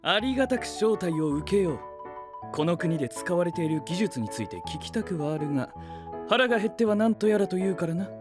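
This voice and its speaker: A man's voice: high voice, lively intonation, fairly quick, music in the background. A man playing a cool, studious young knight who talks in a stiff, analytical way in an anime audio drama. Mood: composed, curious. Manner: formal, analytical.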